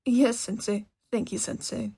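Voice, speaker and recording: A woman's voice: on the high side, steady tone, unhurried, vocal fry, mellow, slightly rough, heavily acted. A woman voicing a timid, polite teenage boy who speaks hesitantly in an audio story. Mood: nervous, polite.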